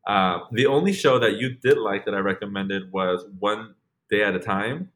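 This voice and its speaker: A man's voice: fairly low pitch, moderate pace, a bit bright. A man in his late twenties co-hosting a podcast, chatting casually and rambling with lots of fillers and restarts. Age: 20s. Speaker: podcast co-host. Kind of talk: casual chat.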